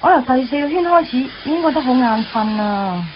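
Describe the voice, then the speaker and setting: A woman's voice: high-pitched, animated, a little fast, a little hiss or hum. An actress playing a bold, teasing teenage schoolgirl in a Hong Kong Cantonese radio drama. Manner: blunt, teasing, girlish. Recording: slightly dull lo-fi radio audio.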